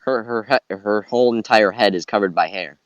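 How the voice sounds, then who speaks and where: A man chatting and joking casually on a podcast panel, with halting, bantering delivery. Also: fairly low pitch, no room sound, highs cut off. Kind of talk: casual joking group chat. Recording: close dry voice over guitar music. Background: guitar music.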